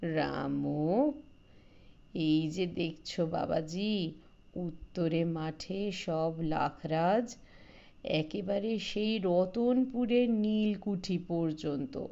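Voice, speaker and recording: A woman's voice: fairly low pitch, extremely slow, vocal fry, warm tone, muffled recording, heavily acted. A woman voicing a talkative old village man, folksy, coaxing and boastful, in an audio story.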